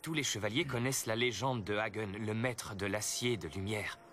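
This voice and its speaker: An actor playing a serious, respectful young knight in a cartoon film. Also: male voice, lowish voice, moderate pace, a bit bright, slight background noise. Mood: earnest, inquiring. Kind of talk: French cartoon film dub.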